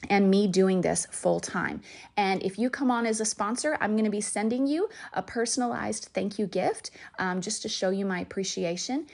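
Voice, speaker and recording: A middle-aged woman hosting a faith-based podcast, warm and enthusiastic, affirming her guest as she talks. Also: medium pitch. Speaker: podcast host. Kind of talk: podcast interview and sponsor appeal.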